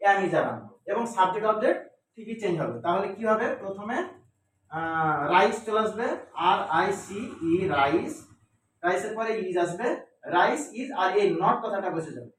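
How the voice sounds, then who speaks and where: A man's voice: high voice, animated, normal speed, a bit bright. A man teaching English grammar step by step in a didactic way, asking and answering his own questions and repeating key words. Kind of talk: step-by-step classroom lesson. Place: echoey indoor room. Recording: very echoey room, distant microphone.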